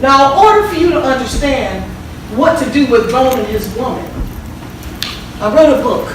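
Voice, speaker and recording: A woman's voice: high-pitched, lively intonation, normal speed. A woman playing a confident, preachy relationship counselor on stage, emphatic and instructive, with repeated commands. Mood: instructive, firm. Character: confident, preachy female relationship counselor with a self-help book. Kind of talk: live stage-play acting. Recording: echoey theater hall, distant mic, noisy.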